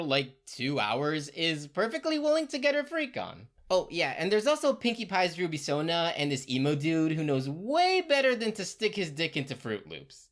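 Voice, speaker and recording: Casting: female comedy recap narrator, deep for a woman, with big expressive pitch movement and irreverent, slangy sarcasm.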